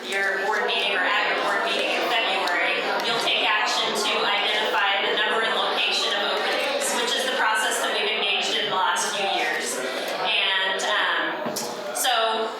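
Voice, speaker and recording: A woman presenting a staff report to a board, loud and explanatory, with some fillers. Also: mid-range voice, fairly even intonation, normal speed, heavy background noise. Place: board meeting room. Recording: echoey room from far off, crowd.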